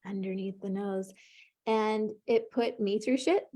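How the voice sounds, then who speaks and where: A woman coaching an emotional tapping session, calmly leading her client through short phrases to repeat, in an encouraging way. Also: mid-range voice, unhurried. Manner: calm, encouraging, leads with short prompts.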